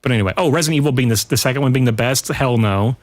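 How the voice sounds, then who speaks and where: Guy on a podcast, regular pitch, chatting loose and informal, goes off on tangents. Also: rapid-fire. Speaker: podcast guest. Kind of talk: casual pop culture chat.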